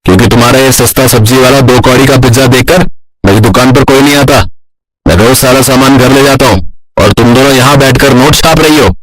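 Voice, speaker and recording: A man's voice: medium pitch, a little fast, slight background noise, close to an everyday voice, rough recording. A man playing an arrogant, jealous, bullying male pizza vendor in a cartoon story. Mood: angry, threatening. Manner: scornful, bullying. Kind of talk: Hindi cartoon story acting.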